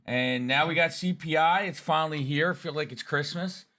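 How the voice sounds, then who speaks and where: A man with a hoarse, raspy voice from a cold, giving energetic, folksy live market commentary. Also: a little high, moderate pace. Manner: energetic, folksy, lots of fillers. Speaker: trading show guest.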